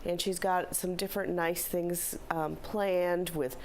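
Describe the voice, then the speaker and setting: A middle-aged woman, a philanthropy director, slightly hoarse, giving upbeat, chatty announcements in a studio interview. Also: on the low side, steady tone, slow, poor audio. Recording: faint background noise.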